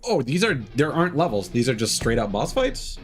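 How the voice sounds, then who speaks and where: A man's voice: medium pitch, very animated, brisk. A man giving casual live commentary as he streams a video game. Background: game music.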